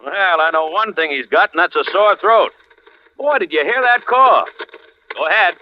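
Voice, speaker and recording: A man's voice: sits high, expressive, moderate pace. A man playing a jumpy, slangy laboratory researcher talking over ham radio in a 1940s radio thriller. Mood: shaken. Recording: noisy, muffled old radio recording.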